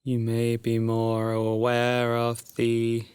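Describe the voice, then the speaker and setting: Male, sleep meditation guide, extremely slow, flat intonation, soft and soothing, breathy, long pauses. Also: mid-range voice, dry recording.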